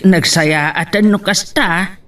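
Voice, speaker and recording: A man with a Filipino accent playing a gentle, worried elderly rural father in a radio-style drama. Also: sits high, slow pace. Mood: concerned. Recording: distant microphone, faint background noise.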